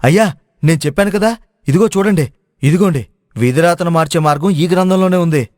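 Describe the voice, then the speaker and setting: Guy playing the knowing cautious village guide in a cartoon tale, slightly high voice, explains urgently, dry sound. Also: a little fast, darkish tone, dry recording, close to an everyday voice. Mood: explaining. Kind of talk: animated moral story dubbing.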